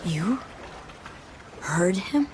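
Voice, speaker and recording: A woman's voice: on the low side, lively intonation, drawn-out words, background noise. A woman acting a guarded, curious mercenary in a video game, talking in short, understated lines and questions. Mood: hesitant.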